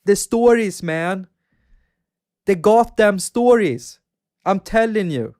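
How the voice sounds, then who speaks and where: A man's voice: sits high, slow, darkish tone. A man with a Swedish accent, hosting a casual livestream, rambling about games and reading out chat. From Sweden. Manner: chatty, rambling, reads out viewer chat and laughs often. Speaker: livestream host.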